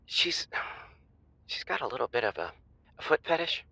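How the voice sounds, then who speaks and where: A sheepish, jittery groom-to-be, played by a man on a comedy call-in. He stammers and confides in a creaky, sharp-edged voice, recorded close and dry. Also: mid-range voice, sing-song, moderate pace, dry recording, full character voice, poor audio.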